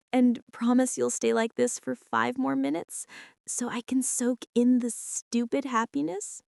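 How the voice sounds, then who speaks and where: A young woman acts out a smitten, bossy college girl in a girlfriend ASMR roleplay. She speaks in an intimate, teasing way with breathy asides, slightly high in pitch. The sound is dry and close, with no room around it. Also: darkish tone, dry recording. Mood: playful, proud.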